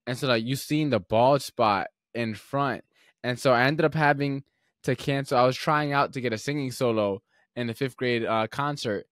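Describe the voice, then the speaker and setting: A young man hosting a podcast, telling stories in a relaxed, rambling way with lots of filler words. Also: mid-range voice, moderate pace, dry recording, studio quality. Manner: relaxed, rambling storyteller with many fillers and restarts. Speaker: podcast host. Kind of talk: casual podcast conversation.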